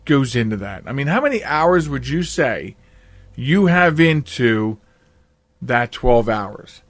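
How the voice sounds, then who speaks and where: A man's voice: medium pitch, very animated, slow, dry recording. An older man hosting a podcast, chatting casually in an interview. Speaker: podcast host.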